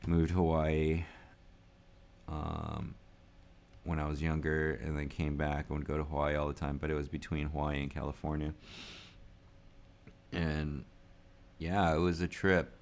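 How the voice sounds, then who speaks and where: Super low gravelly guy in his 40s, rambling vlog talk, laughs a lot. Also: level tone, measured pace, long pauses. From United States. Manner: rambling, musing, laughs often. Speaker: vlogger. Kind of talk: casual unscripted vlog talk.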